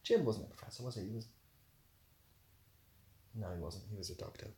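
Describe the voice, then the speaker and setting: A man's voice: on the low side, expressive, fairly quick, mellow. Laid-back man chatting on a livestream. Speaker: live streamer answering chat questions. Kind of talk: casual chat, reading out and answering viewer questions.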